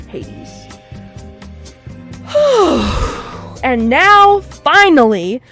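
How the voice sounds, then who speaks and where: Snarky star-child space radio host, young woman doing the voice, high and bouncy, faint music under it. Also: a bit bright. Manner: theatrical, snarky, bursting with exclamations. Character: sassy, showy star-child host of a cosmic radio show. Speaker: podcast host character. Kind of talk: scripted fiction podcast.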